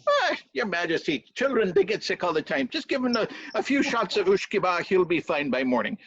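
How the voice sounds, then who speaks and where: A man voicing a flustered, deferential Irish castle servant in a folk tale, excitable and squeaky. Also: brisk, highs cut off, exaggerated voice. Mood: dismissive.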